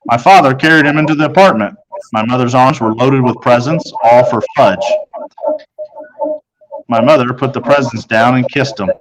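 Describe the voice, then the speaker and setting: A man's voice: mid-range voice, moderate pace, crowd noise, low-quality recording. Man reading a children's story aloud. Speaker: storybook reader. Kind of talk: children's audiobook read-aloud, first-person narration.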